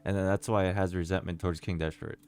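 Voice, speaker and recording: A man's voice: deep voice, steady tone, fast, a little mellow. A man giving casual playthrough commentary, musing aloud informally as a game streamer.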